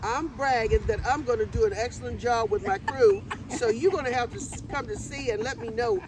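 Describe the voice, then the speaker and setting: A woman's voice: fairly high pitch, expressive, brisk. A woman speaking as an interview guest in an exuberant, emphatic and self-assured conversational way. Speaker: interview guest, volunteer event decorator. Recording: distant mic, clear outdoor vehicle noise.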